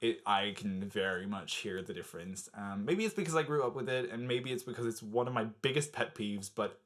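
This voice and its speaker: A man's voice: on the low side, lively intonation, a little fast, fairly bright tone. Chatty young Dutch-born man, American accent. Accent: American-sounding English. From Netherlands. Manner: chatty, many fillers and "like". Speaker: vlogger. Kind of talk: casual talk to camera.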